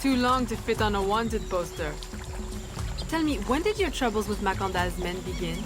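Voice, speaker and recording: An actress playing a determined, dry-witted woman assassin in a video game, measured and formal. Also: medium pitch, very animated, music in the background, natural voice. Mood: composed, dry.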